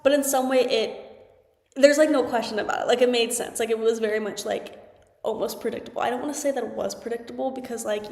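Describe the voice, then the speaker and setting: A young woman hosting a sports podcast, stuffy from a cold, chatting casually with lots of "like" and "um". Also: a little high. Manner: chatty, frequent "like" and "um" fillers. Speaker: podcast host. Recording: echoey room with long reverb.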